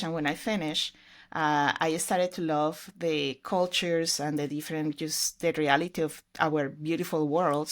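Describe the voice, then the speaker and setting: Middle-aged woman guest, english, low-ish voice, earnest and reflective. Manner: earnest, reflective storytelling with fillers. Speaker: podcast guest, ministry leader. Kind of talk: conversational interview.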